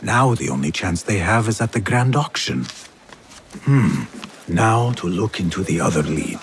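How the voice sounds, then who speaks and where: An actor playing a determined, calm young male investigator in a video game cutscene. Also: sits low, measured pace, a little hiss or hum. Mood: intent, guarded.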